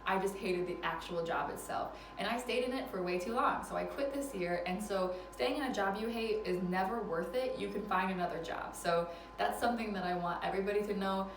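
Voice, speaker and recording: A woman's voice: medium pitch, brisk, distant mic. A woman in her twenties rattles through life advice in a casual vlog. She laughs often and pokes fun at herself. Her voice carries the echo of a room, with the mic set back and a faint hum of noise behind. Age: 20s. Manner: chatty, laughs often, self-deprecating. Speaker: vlogger. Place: indoors at a relative's house.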